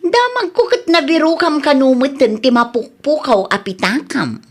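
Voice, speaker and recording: A woman's voice: high-pitched, expressive, normal speed. A woman with an Ilocano Filipino accent acting a wronged, assertive young village woman in a radio drama. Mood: firm.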